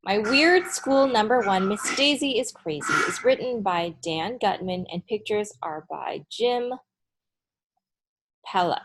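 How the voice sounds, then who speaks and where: A woman with an unhurried pace speaks as a teacher to young listeners. She addresses them directly and expressively, in the style of a storybook read-aloud. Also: medium pitch, noisy background. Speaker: teacher reading aloud to students.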